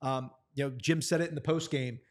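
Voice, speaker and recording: A man's voice: medium pitch, fairly even intonation, fairly quick. A man who works as a play-by-play announcer, talking earnestly and supportively about players in a sports conversation.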